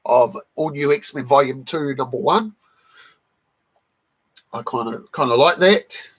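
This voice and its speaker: A man hosting a casual live stream, croaky from a cold, who keeps clearing his throat and greets viewers in the chat one by one. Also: mid-range voice, unhurried, a bit bright. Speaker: live stream host showing comic books.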